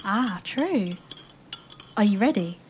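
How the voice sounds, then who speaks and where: A woman's voice: mid-range voice, lively intonation, slow pace, slight background noise. A woman playing an enthusiastic storyteller in a family audio play, inviting listeners into an adventure with a sense of wonder. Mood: gentle, inviting.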